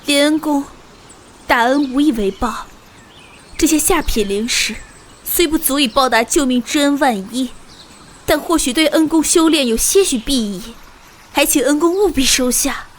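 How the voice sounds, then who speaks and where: A woman playing a polite, humble young merchant's daughter with formal, old-fashioned manners in an animated fantasy drama. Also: sits high, moderate pace, a little hiss or hum, close to an everyday voice. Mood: earnest, grateful. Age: young adult.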